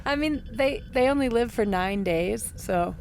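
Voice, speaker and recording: High-pitched, creaky woman at a game table. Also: lively intonation, measured pace, darkish tone. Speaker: player in tabletop roleplaying game. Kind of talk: casual chat. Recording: faint background noise.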